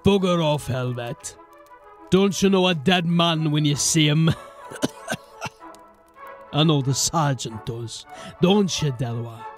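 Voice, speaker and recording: An actor playing a dying old gang boss in a fantasy audio drama, gruff and sardonic, weak with pain and coughing. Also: male voice, fairly high pitch, slow, long pauses, soft background music. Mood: gruff, defiant. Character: dying old male gang boss, defiant, bitter, foul-mouthed.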